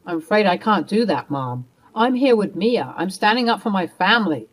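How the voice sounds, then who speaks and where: Brief: woman voicing a husband owning his mistakes, steady and sincere. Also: medium pitch, normal speed. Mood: earnest. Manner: firm and apologetic. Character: remorseful young husband standing by his wife.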